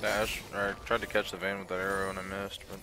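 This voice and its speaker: A man's voice: low voice, completely flat delivery, fast, over music. Brief: young male streamer, home desk mic, ranting play-by-play, profane and easily riled. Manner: profane, ranting, bursts into loud frustration. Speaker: gamer streamer. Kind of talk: casual gameplay commentary. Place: small room at home, indoors.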